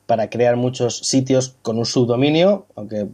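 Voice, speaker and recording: Hombre de voz cálida, invitado. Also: male voice, medium pitch, normal speed, warm tone. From Bilbao, Spain. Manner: thoughtful, explanatory, with fillers. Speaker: podcast guest, web project consultant. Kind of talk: casual podcast chat.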